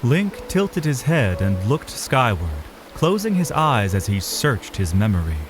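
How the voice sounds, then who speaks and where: A man's voice: mid-range voice, huge pitch swings, unhurried, soft background music. A man narrating a scripted fantasy story in a dramatic style.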